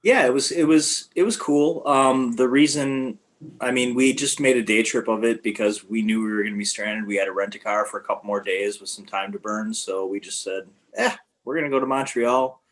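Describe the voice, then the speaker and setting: A man's voice: medium pitch, a little fast. A man casually telling a travel story on a podcast, rambling with many fillers and restarts.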